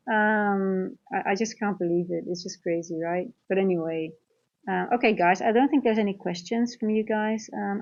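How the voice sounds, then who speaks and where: A woman's voice: mid-range voice, a little mellow. A woman from the Netherlands with a Dutch accent, chatting informally as host of a livestream and talking directly to viewers. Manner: informal, conversational, addressing chat directly. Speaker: livestream host, trading coach. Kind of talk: casual livestream chat. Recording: dull lo-fi livestream audio.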